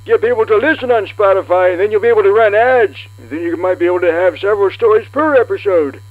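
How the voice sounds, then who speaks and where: A man acts a chatty old grandpa from a retirement home in a comedy podcast. He rambles hesitantly in a high, folksy voice over a tinny, humming phone line. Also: fast, no echo. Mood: befuddled, chatty.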